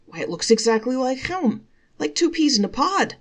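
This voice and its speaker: A woman's voice: high voice, big pitch swings, moderate pace, pitched above the performer's own voice, full character voice. A woman voicing a naive, dreamy village man from a folktale, earnest and full of wonder. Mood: astonished, bewildered. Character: naive dreamy Chelm villager Reb Selig, adult man, easily amazed.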